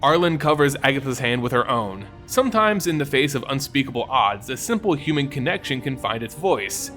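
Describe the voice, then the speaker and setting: A man narrating a scripted story in a theatrical, suspenseful manner with deliberate phrasing. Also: mid-range voice, lively intonation, a bit bright, soft background music.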